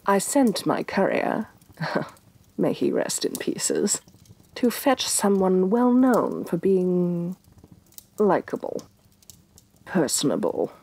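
A domineering evil queen voiced by a woman for a fantasy ASMR roleplay. She speaks softly and very slowly, with breath in every phrase. Her delivery swoops expressively, imperious and scornful, with menace under the softness. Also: fairly low pitch, full character voice. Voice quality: soft, breathy. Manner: imperious, deliberate, disdainful, sighing with exasperation. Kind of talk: soft-spoken ASMR roleplay.

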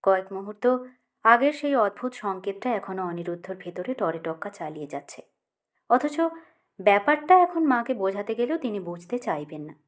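A woman reading a literary short story as an audiobook narrator, in a measured, expressive way. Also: mid-range voice.

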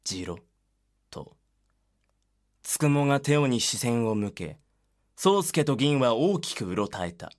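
A male narrator reads a scripted drama in a medium-pitched voice. His delivery is dramatic storytelling. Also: very animated, measured pace, long pauses.

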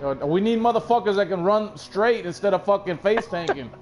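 A man's voice: sits high, animated, fairly quick, a crowd in the background. A man streaming a shooter game, trading profane, exasperated trash talk with friends. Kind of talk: gaming banter with friends.

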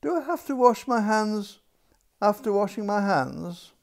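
A man's voice: high-pitched, lively intonation, a little slow, creaky, pitched above the performer's own voice, heavily acted. An older man voicing a stubborn, questioning little girl princess in a storybook reading, protesting indignantly.

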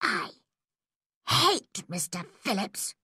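Woman acting spirited, high-pitched young girl. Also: animated, slow, pushed and bright, no room sound, studio quality, full character voice. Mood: bitter. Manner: emotional, emphatic exclamations. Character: spirited, proud, quick-tempered young girl.

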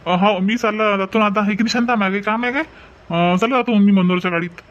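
A man voicing an obliging village fellow at a cartoon wedding, hurried, soothing and repetitive, with a Varhadi Marathi accent. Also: high-pitched, a bit bright. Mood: matter-of-fact. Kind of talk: homemade cartoon family drama. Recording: dull, lo-fi audio.

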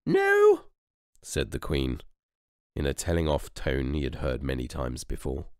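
A man reading a children's story aloud with expressive narration. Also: very deep voice, normal speed, clear, resonant tone, dry recording, studio quality. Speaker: storybook reader.

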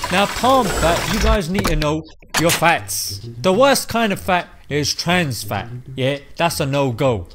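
A man's voice: high-pitched, background noise. A young British man presenting scripted comic commentary to camera in a slangy, exaggerated, mock-indignant manner. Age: young adult. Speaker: comedy presenter. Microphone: desk microphone on boom arm.

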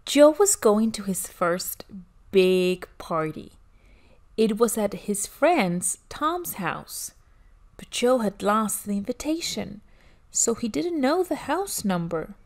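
A woman reading a children's picture book aloud in an expressive storytelling manner. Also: mid-range voice, takes it slowly. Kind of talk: children's story read-aloud, scripted narration.

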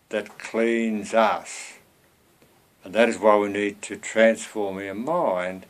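An elderly man in his seventies with a fairly low voice speaks as a lay preacher. His delivery is earnest and conversational, like an informal sermon given from a small room at home, with rhetorical questions put to the listener. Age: 70s.

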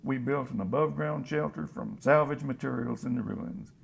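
An actor playing a folksy, plain-spoken, hopeful scavenger in a radio drama about survivors of a disaster. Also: male voice, medium pitch, moderate pace, creaky, slight background noise. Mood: reflective, matter-of-fact. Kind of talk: radio drama, interview monologue.

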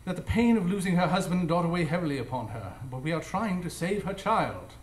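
A man plays a commanding gentleman detective in a radio play, with a fairly high, animated voice. He is clipped, formal and coolly logical, in a roomy space. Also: fast talker, lightly acted. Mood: commanding, probing. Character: brisk, imperious, coolly logical male detective. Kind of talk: live radio drama acting. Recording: roomy live stage.

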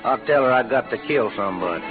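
A man's voice: medium pitch, animated, fast, natural voice. Man as roguish cowboy gambler, playful drawl, tinny old radio, music underneath. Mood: teasing. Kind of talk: 1940s-50s radio western acting. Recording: muffled vintage radio recording with music behind.

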